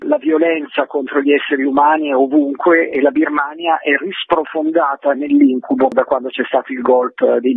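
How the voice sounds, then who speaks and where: A man's voice: on the high side, gravelly, slightly rough, no room sound. A man, a film director, explaining his work passionately over a phone line on a radio interview. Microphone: telephone.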